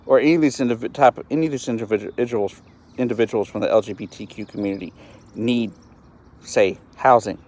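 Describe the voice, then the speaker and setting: A man's voice: lowish voice, a little fast, darkish tone. A man, hosting a solo live stream and talking earnestly and with frustration, often stopping to restart his words. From East Texas, US. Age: 30s. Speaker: host of a live-stream show.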